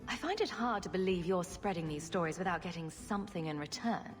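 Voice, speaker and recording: A woman's voice: lowish voice, expressive, a little fast, soft background music, close to an everyday voice. An actress playing a self-assured female adventurer in a video game, with a dry, sardonic delivery. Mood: wry, teasing. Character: wry, self-assured female adventurer hero with quick sarcastic wit.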